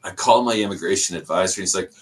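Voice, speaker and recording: A man's voice: low-pitched, very fast. A young Canadian man with a Canadian accent, a podcast guest casually telling his story and acting out the people in it. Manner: animated, acts out quoted people in his stories.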